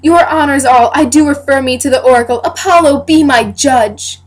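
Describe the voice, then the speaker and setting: A young woman playing a wronged, dignified queen who defends her honour in deliberate, declamatory Shakespearean verse. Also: high voice, soft background music, close to an everyday voice. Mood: wounded, defiant. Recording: noisy amateur home recording.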